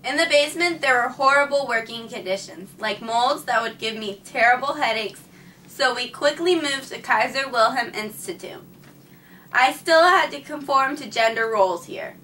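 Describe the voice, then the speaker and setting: A woman's voice: a little high, normal speed, pushed and bright, slight background noise. A woman narrating a scripted first-person history story, reading it plainly and earnestly. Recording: distant mic with faint background music.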